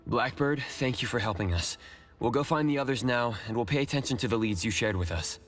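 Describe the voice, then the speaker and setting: An actor playing a composed young investigator leading his team in a video game, measured and matter-of-fact. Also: mid-range voice, expressive, a bit bright, close to an everyday voice. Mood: composed, focused. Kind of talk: scripted video game voice acting. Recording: music behind the voice.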